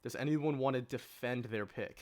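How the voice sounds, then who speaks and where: A man's voice: medium pitch, normal speed. Man, podcast host, mid-range voice, casual panel discussion.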